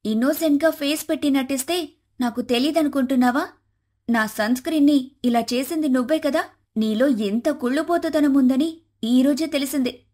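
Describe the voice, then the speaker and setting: A woman voicing a vain, quarrelsome younger sister in an animated family story. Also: high-pitched, normal speed, lightly acted. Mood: indignant.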